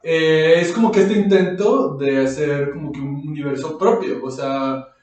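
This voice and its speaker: Man, Mexican accent, fairly high voice, slangy casual podcast chat, very echoey, off-mic. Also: normal speed, a little mellow. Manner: informal slang, occasional English words. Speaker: podcast co-host. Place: echoey makeshift studio, indoors. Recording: echoey room, distant from mic.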